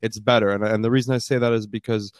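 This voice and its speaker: A young man in his twenties with a deep voice, answering interview questions in a fluent, storytelling way with frequent fillers. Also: steady tone, quick pace, dry recording, studio quality. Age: 20s. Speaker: startup founder guest. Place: based in Canada.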